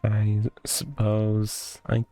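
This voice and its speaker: A man's voice: fairly low pitch, monotone, drawn-out words, dark tone. A man giving casual, off-the-cuff commentary as he plays through a game. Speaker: gaming playthrough host. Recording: music behind the voice.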